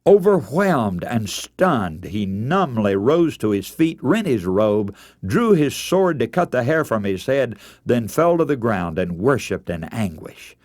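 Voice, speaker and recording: Guy preaching on the radio, telling bible stories dramatically, really lively pitch, dry close sound. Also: dry recording. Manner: expressive, formal, measured oratory with quoted dialogue.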